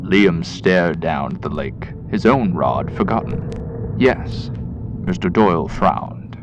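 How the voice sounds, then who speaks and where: A man's voice: deep voice, expressive, a little slow, lo-fi sound, background noise. A man narrating a scripted horror tale in the vein of 1920s radio, with dramatic, ominous delivery. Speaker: storyteller, podcast host. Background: music.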